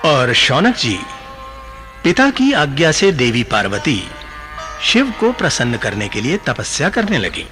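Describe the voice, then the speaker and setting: Patient learned sage telling myths, unhurried, lilting up and down, music clearly behind. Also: male voice, mid-range voice, huge pitch swings. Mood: reverent narrating. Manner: formal, measured, instructive. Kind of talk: Hindi radio play storytelling.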